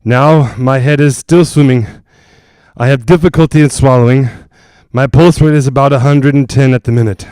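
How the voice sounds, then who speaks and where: A man playing a solemn, earnest psychic ghost hunter, formal and halting, in a 1940s-style radio drama. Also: mid-range voice, steady tone, a little mellow, clear, resonant tone, no echo, close to an everyday voice. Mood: strained, grave. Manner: formal, measured, halting, grave.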